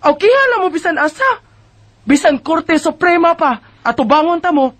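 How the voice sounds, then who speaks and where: A woman's voice: very high voice, expressive, moderate pace, a bit bright. A woman with a Bisaya accent playing a brazen, stubborn neighbour in a radio drama, dismissive and argumentative. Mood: brazen, defiant. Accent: Filipino Bisaya.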